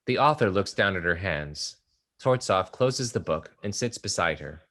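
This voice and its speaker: Deep voiced guy reading stage directions out loud, plain and steady. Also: moderate pace. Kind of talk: play table read.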